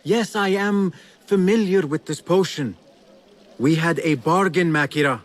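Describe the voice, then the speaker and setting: An actor playing an earnest, driven young assassin in a video game, speaking gravely and intensely. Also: male voice, fairly high pitch, a little slow, lightly acted. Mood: guarded. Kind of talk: dramatic video game acting. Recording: music behind the voice. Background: game music.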